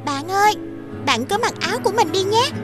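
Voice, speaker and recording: A woman's voice: very high-pitched, animated, brisk, over loud music. A woman voicing a sweet, kind and polite young girl in a cartoon. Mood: caring.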